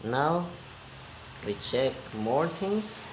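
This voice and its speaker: A man giving a hands-on repair demonstration in halting, non-native English, step by step. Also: mid-range voice, very animated, roomy sound, slight background noise, rough recording. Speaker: repair technician giving a tutorial.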